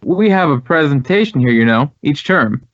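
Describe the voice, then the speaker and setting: A man's voice: fairly high pitch, lively intonation, normal speed, dry recording, natural voice. An American man playing an earnest, talkative drama school head in a radio drama, speaking with emphatic, reflective phrasing. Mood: puzzled. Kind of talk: 1950s radio drama dialogue. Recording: dry, dull vintage radio recording.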